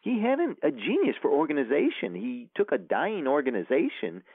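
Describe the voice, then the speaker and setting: Author guest, male, rambling and animated, heard over a tinny phone line. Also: a little high, fairly quick, vocal fry, no echo. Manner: rambling, many fillers and restarts. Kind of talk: casual interview chat.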